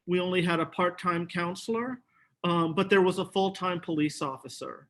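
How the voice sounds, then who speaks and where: A man's voice: high voice, normal speed, a bit bright. A middle-aged man, a professor, speaking earnestly and conversationally as a panelist on a video call.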